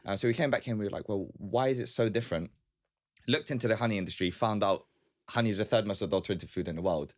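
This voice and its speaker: Male podcast guest, informal and talkative, lowish pitch, rapid delivery. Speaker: guest, small business founder. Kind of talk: casual podcast conversation.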